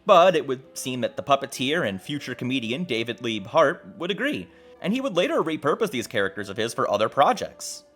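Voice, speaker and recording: Man, animated delivery, casual exclamatory narration, wry, music underneath. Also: mid-range voice, fairly quick. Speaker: host. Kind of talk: video essay narration. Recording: music behind the voice. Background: background music.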